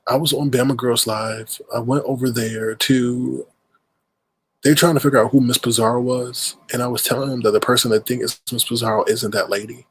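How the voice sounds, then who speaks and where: A man's voice: medium pitch, steady tone, brisk, fairly bright tone, breathy edge, dry recording, rough recording. Stuffy-nosed man hosting a livestream. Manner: rambling, greets chatters mid-sentence. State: stuffed-up nose. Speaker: livestream host. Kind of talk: casual livestream talk to chat.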